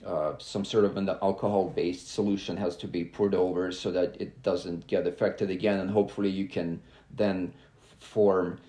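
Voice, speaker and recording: A young adult man from Belarus, a guest on a podcast, talking in a halting, earnest way with many filler sounds. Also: low-pitched, flat delivery, dark tone, echoey room. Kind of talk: casual interview talk.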